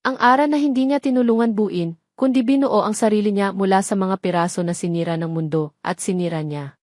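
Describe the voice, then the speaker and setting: A woman's voice: mid-range voice, a little fast, darkish tone, dry recording. Female storyteller for scripted romantic audio drama; mid-range voice, dry studio sound.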